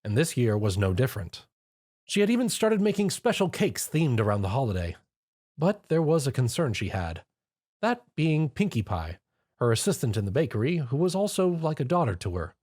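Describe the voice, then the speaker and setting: A man narrating a fan story in a lively storytelling manner. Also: medium pitch, moderate pace. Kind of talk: fan fiction reading.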